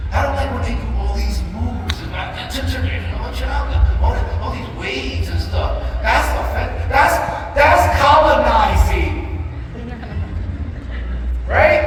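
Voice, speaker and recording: A middle-aged man giving an impassioned, informal speech to a group, emphatic and preachy, firing off rhetorical questions and acting out imagined conversations. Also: high-pitched. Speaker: dance workshop speaker. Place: large echoing room with a live audience. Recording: very echoey noisy hall, far from mic.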